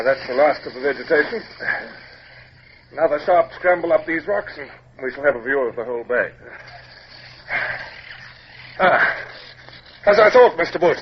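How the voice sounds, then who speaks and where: An actor playing a stern, formal British naval captain with a clipped, commanding manner in an old radio drama. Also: male voice, fairly high pitch, close to an everyday voice. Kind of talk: 1950s radio drama acting with first-person narration. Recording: muffled, noisy old 1950s radio recording.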